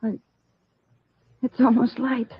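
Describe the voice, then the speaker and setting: An actress playing a frightened, distraught young nightclub singer in a halting, pleading 1940s radio drama style. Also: female voice, a little high, level tone, slightly rough, no room sound, heavily acted. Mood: drained. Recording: muffled vintage 1940s radio recording.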